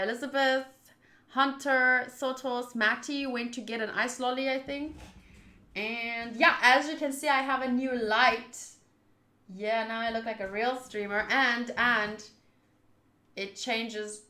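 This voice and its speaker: Bright-voiced woman streamer in an echoey room. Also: on the high side. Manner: informal, chatty, thinks aloud. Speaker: streamer, visual novel playthrough host. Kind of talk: casual livestream chat and reading game text aloud.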